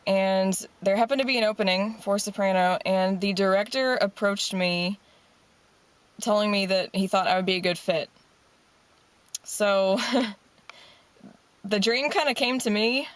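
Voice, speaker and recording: A woman's voice: mid-range voice, unhurried, long pauses, dry recording. A young adult woman telling a personal story in an earnest, casual, encouraging way, like a motivational talk. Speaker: singer and motivational speaker.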